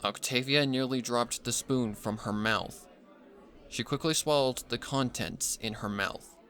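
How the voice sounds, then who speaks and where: A man's voice: medium pitch, unhurried, fairly bright tone. A man reading scripted story narration as the narrator.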